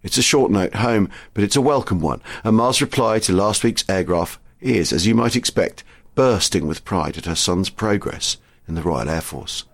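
A man's voice: lowish voice, lively intonation, normal speed, dry recording. A man presenting a podcast in scripted narration, introducing readings and giving credits.

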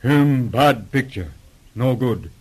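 A man playing a terse, wary tracker in a 1940s radio drama, speaking slow, halting, broken English. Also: medium pitch, lively intonation, breathy edge. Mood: foreboding.

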